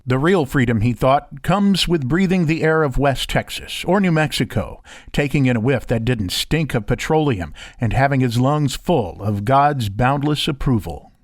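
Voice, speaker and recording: A man's voice: medium pitch, animated, normal speed, few pauses, no room sound. A man doing scripted voiceover narration and demo reading, recorded close on a studio condenser microphone. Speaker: voiceover artist and interviewer.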